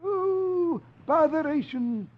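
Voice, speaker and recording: A man voicing a little old eccentric sorcerer-scholar in a halting, absent-minded manner for a 1950s radio comic-strip reading. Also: lively intonation, exaggerated voice. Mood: fussy, incantatory. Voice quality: high, thin old-man voice. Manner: halting, hesitant, with little uhs. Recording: muffled, noisy old radio recording.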